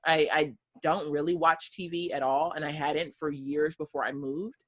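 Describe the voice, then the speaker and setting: A woman's voice: low-pitched, fairly even intonation, moderate pace, fairly bright tone. An American woman in her thirties, a guest telling her story in casual conversation, with many fillers and easy laughs. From United States.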